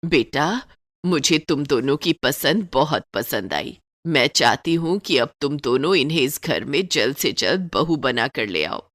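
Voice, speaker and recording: Woman acting elderly cartoon mother-in-law, lowered voice, dry recording, motherly, plaintive, trusting, long-suffering. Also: very animated, unhurried, fairly bright tone, no echo, exaggerated voice. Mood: pleased. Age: older.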